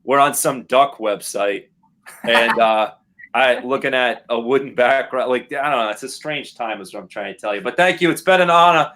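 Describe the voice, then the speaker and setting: A man joins a comedy chat from a desk in his bedroom, talking in a wry, rambling way full of pauses. Faint clicks sound behind him. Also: medium pitch, noisy background. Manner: wry, rambling with many uhs. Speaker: guest judge. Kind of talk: casual video-call chat.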